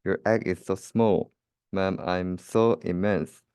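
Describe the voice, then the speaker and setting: Low-voiced young man reading slowly. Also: fairly even intonation, darkish tone, smooth, no room sound, studio quality. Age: young adult. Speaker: student reader. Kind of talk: reading a children's rhyming story aloud.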